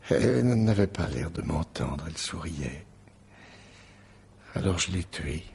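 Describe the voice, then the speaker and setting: An actor playing a tormented, bitter lover in a French radio drama, confessing in a husky, gravelly, brooding voice. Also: male voice, sits low, expressive, measured pace, a little mellow, full character voice. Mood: bitter confession.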